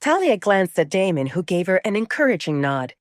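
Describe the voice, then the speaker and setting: Expressive, lowish-voiced woman narrating fiction. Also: a little fast, no room sound, studio quality. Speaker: audiobook narrator. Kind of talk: scripted dramatic narration.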